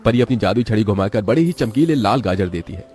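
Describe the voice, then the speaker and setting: A man narrates a children's moral story with dramatic delivery. His voice is fairly low and he moves along quickly over faint music. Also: lively intonation, darkish tone.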